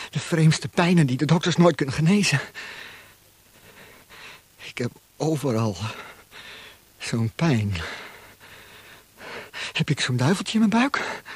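A man playing a tortured prisoner in a radio play, frightened and desperate, with halting, pleading, anguished speech. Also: mid-range voice, breathy edge, no room sound.

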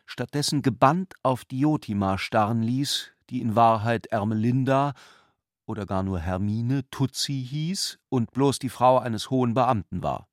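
Male narrator for literary radio drama, mid-pitched, dry studio sound. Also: no echo.

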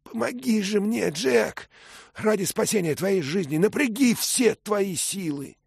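A man's voice: high voice, animated, unhurried, creaky, slightly rough, no room sound, clean studio sound, pitched above the performer's own voice, full character voice. A man voicing an earnest, brave young squire who speaks with passion in an audiobook. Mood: urgent. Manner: impassioned, emphatic.

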